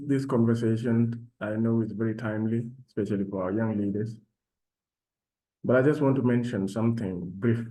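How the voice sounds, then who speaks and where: A man from South Sudan speaking as a panelist in an online session, with measured, formal phrasing. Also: on the low side, darkish tone, poor audio. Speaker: panelist and program alumnus. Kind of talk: panel talk, self-introduction.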